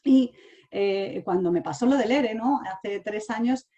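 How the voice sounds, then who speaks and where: Woman in her forties, online talk, halting, full of fillers, mid-pitched voice. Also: warm tone, lo-fi sound. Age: 40s. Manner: hesitant, many fillers and restarts. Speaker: speaker. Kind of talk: online talk sharing personal experience. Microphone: online talk mic.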